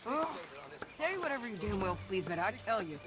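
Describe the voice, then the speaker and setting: An actress playing a fierce, defiant outlaw woman of the frontier in a video game, sharp and combative. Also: medium pitch, big pitch swings, close to an everyday voice. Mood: threatening. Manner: sharp, combative, drawn-out threats.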